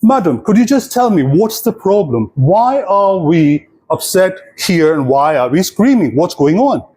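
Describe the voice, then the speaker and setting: A man's voice: high-pitched, very animated, unhurried, a little mellow. A man playing a formal, earnest detective who questions insistently, as a character in a told story. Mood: impatient. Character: earnest male detective, polite but increasingly impatient.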